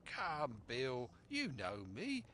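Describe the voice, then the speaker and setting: A man's voice: mid-range voice, huge pitch swings, extremely slow, sharp tone, a little hiss or hum, exaggerated voice. A man voicing a sly, falsely friendly pirate seaman in sing-song rustic sailor's speech for a storybook. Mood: falsely jolly.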